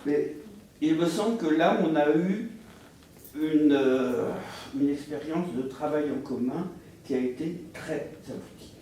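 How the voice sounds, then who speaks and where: A man speaking deliberately and haltingly as a panelist at a round table on literary translation. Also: on the high side, warm tone. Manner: deliberate, halting, pausing mid-sentence. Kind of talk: spontaneous round-table talk. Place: reverberant room at a round-table event. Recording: echoey room, distant mic, faint noise.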